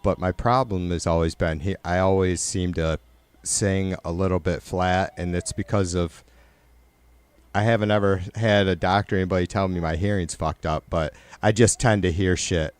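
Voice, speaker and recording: A middle-aged man with a nasal, raspy voice, hosting a livestream and chatting loosely with viewers, rambling and swearing. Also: deep voice, fairly even intonation, normal speed, no room sound. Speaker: livestream host. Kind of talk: casual chat with live viewers.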